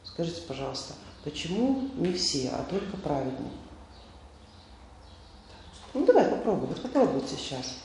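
Male seminar leader, gravelly, conversational lecturing, miked from a distance. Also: on the high side, big pitch swings, moderate pace, pauses often, a little mellow. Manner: conversational, hesitant fillers, rhetorical questions. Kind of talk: informal lecture quizzing the audience. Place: large indoor hall. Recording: echoey hall, voice from far off, faint noise.

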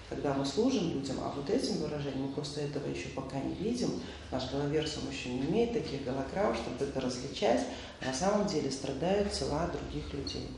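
Male seminar leader, gravelly, conversational lecturing, miked from a distance. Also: on the high side, a little mellow. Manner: conversational, hesitant fillers, rhetorical questions. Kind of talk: informal lecture quizzing the audience. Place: large indoor hall. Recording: echoey hall, voice from far off, faint noise.

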